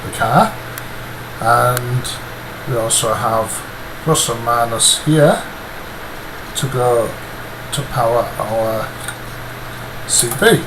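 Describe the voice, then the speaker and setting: Man, slow halting delivery, casual hobby how-to, thinking aloud, noisy background hum. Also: mid-range voice. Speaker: hobbyist presenter. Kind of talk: casual DIY how-to vlog.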